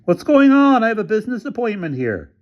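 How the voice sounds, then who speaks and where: Man doing a squawking, pompous developer; high and shrill. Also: sing-song, a little fast, highs cut off. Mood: indignant. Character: panicky, bewildered male land developer, pompous.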